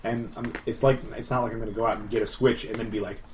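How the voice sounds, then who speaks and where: A man's voice: fairly low pitch, little pitch movement, quick pace. A man on a podcast panel, chatting informally about video games and telling anecdotes. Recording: faint background noise, room ambience.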